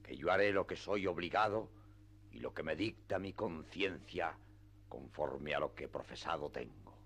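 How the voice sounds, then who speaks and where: An actor playing a solemn, proud old knight-errant in a radio play, with a gravelly, husky voice and a pompous, grave delivery. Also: male voice, extremely low pitch, lively intonation, moderate pace, fairly bright tone, exaggerated voice. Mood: solemn resolve.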